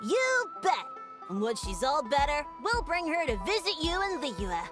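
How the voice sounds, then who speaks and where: Peppy kid girl, high bouncy voice, eager, game music. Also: sing-song, measured pace. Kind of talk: game voice acting. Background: game score music.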